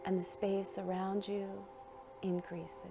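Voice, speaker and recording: A woman narrating a guided meditation in a gentle, soothing, unhurried manner, leaving long pauses between phrases. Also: medium pitch, flat delivery, music in the background.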